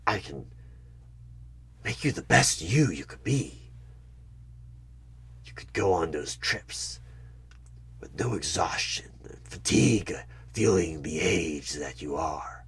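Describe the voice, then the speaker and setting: A man playing a sinister, smug evil tempter in a horror ASMR roleplay, speaking deliberately in a husky voice with dramatic pauses and frequent whispers. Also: deep voice, lively intonation, fairly bright tone, exaggerated voice. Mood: seductive, persuasive. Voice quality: husky, breathy.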